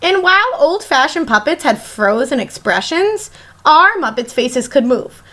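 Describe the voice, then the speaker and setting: A woman teacher reading a picture book aloud to children in an expressive, emphatic storytelling manner. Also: high-pitched. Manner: animated, emphatic, pauses to question young listeners.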